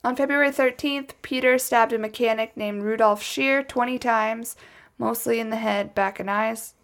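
A woman's voice: fairly high pitch, fairly even intonation, moderate pace, fairly bright tone. A woman telling a true crime story casually from her notes, as a podcast host, with chatty, wry asides.

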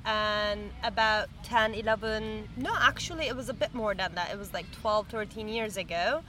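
A woman in her thirties chats animatedly as a podcast co-host, her voice bright and forward. She sits outdoors in a city park, with a faint hum of traffic behind her. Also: mid-range voice, normal speed. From Turkey. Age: 30s. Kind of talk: casual chat.

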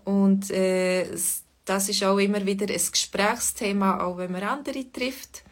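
Woman, Swiss German dialect mix, casual teacher, thoughtful pauses. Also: mid-range voice, fairly even intonation. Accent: Swiss German, Bernese-Lucerne mix. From Switzerland. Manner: thoughtful, many pauses and fillers. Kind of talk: relaxed spoken lesson.

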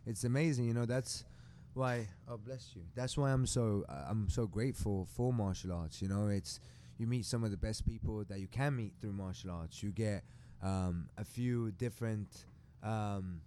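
A man from Sweden chats as a podcast guest in a low, relaxed voice. His delivery is conversational and halting, with repeated words. Noise is audible behind him. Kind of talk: casual conversational interview. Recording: clear background noise.

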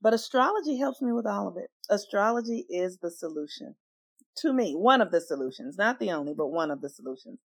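An earnest woman telling her personal story as a guest in an interview, stressing her points with swings in loudness. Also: medium pitch, expressive, brisk, no room sound. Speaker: astrologer guest.

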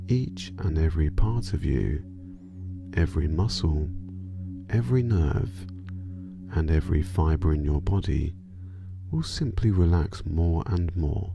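A man guiding a sleep meditation in a soothing, hypnotic manner, drawing out his phrases and letting endings fall soft and breathy. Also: extremely low pitch. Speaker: meditation guide.

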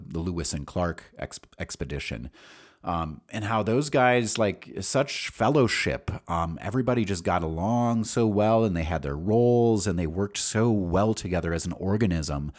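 An American man with a low-pitched voice tells autobiographical stories as a podcast host. His delivery is casual and candid, wandering as he thinks aloud, with loose, profane phrasing.

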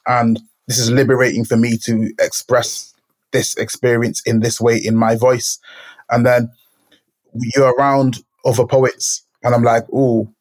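Man, narrow even pitch, thoughtful, reflective conversation. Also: measured pace. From Manchester, England. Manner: thoughtful, searching for words. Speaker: poet, guest.

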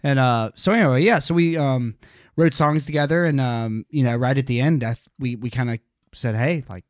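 A man in his late twenties, a singer and guitarist, telling his band's story in a halting way with many pauses and restarts. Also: medium pitch, dry recording, clean studio sound. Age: late 20s. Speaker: band singer and guitarist, guest. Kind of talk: casual interview storytelling.

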